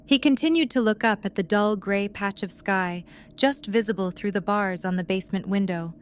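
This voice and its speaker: A woman's voice: medium pitch, moderate pace, a little hiss or hum. A woman reading scripted narration as the narrator of an audio drama.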